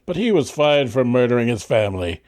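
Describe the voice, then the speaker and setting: A man's voice: mid-range voice, very animated, brisk, darkish tone, no echo. Dry, close-sounding male narrator. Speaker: narrator of an audio drama. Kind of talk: scripted narration, old radio serial style.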